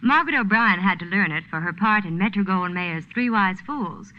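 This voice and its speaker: A woman's voice: medium pitch, lively intonation, brisk, a little hiss or hum. A woman doing scripted promotional chat as a spokeswoman on a 1940s radio show. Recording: dull 1940s radio recording.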